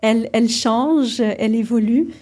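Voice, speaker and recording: A woman's voice: fairly high pitch, normal speed, a little mellow. A woman in her forties from Morocco, a psychiatrist guest on a podcast interview, talking hesitantly with many fillers and restarts.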